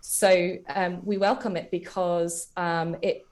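A woman with a slightly low voice answers as a charity spokeswoman in a radio interview. She is measured and diplomatic, making her case with care. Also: flat delivery, a little mellow.

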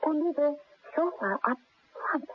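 An actress playing an earnest, anxious young housekeeper in a radio drama, speaking politely and deferentially. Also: female voice, high voice, lively intonation, moderate pace. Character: earnest, anxious young Irish-American housekeeper, stubbornly loyal. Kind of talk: 1970s radio drama acting. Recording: muffled phone-like vintage radio recording.

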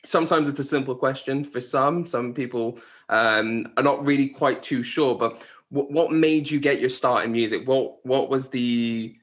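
An interviewer on a video call who asks questions haltingly, with fillers and restarts. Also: medium pitch, fairly bright tone.

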